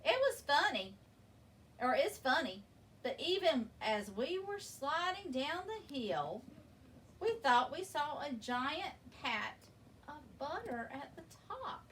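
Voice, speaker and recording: A woman reading a children's picture book aloud in an expressive, deliberate storytelling manner, leaning on key words. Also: sits high. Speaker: story reader. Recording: distant microphone with background noise.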